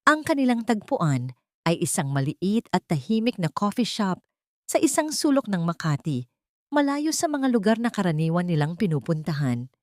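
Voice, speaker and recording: A woman narrating a scripted audio drama in a steady, dramatic storytelling manner. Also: fairly low pitch, normal speed, dry recording, studio quality.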